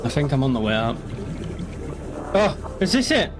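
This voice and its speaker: A man's voice: mid-range voice, sing-song, moderate pace, over music. Rambling young man streaming a game. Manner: rambling, joking, swears casually. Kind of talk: casual gaming commentary.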